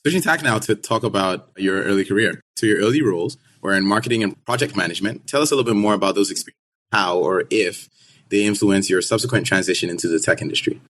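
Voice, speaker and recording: A young man hosting a podcast, putting questions to his guest in a brisk, friendly way. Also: on the low side, fairly bright tone. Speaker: podcast host, interviewer. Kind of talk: interview hosting.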